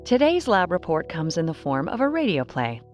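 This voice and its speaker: Female podcast host, scripted intro. Lowish voice, lively intonation, clear music bed behind her. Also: moderate pace. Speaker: show host. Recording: music under the voice.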